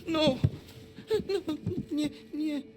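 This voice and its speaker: High-voiced, frightened Polish immigrant. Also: male voice, steady tone, heavily acted. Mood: panicked, pleading. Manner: stammering, halting, pleading. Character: frightened, pleading male Silesian immigrant debtor with little English. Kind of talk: video game acting. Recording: music behind the dialogue.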